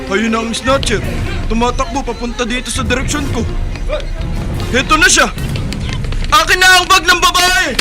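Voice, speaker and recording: An actor playing an eager young male street vendor, hawking loudly in a radio drama. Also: extremely high pitch, fairly even intonation, normal speed, pauses often. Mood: bold. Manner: loud hawking calls. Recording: busy street crowd and music behind.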